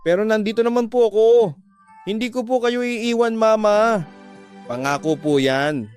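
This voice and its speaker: A man's voice: sits high, measured pace, darkish tone, clean tone, faint music behind, pitched above the performer's own voice. A man voicing a devoted young adult daughter in a radio drama, tearfully comforting and earnestly pleading.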